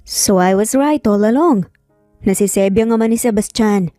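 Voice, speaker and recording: A woman's voice: mid-range voice, normal speed, warm tone, no echo, clean studio sound, faint music behind, natural voice. A woman voicing a breezy, curious man with a casual Filipino accent, mixing in English, in an audio story. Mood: knowing, frank. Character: breezy, curious male cousin and best friend.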